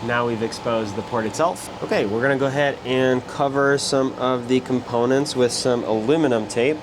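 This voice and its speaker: A man's voice: mid-range voice, normal speed. A man giving a casual step-by-step narration as a repair technician, talking through his actions as he works. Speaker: electronics repair technician presenter. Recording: steady fan-like background noise.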